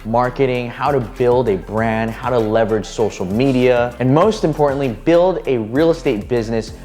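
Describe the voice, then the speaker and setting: A man's voice: medium pitch, normal speed, a little mellow, soft background music. A young man from Hawaii, a real estate agent hosting a casual vlog, talking in an upbeat, chatty way full of "you know" fillers.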